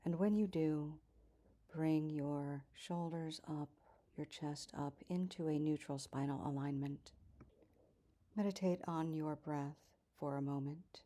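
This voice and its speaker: A woman teaching a yoga class, giving calm, measured instructions with soft, breathy phrase endings. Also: sits low.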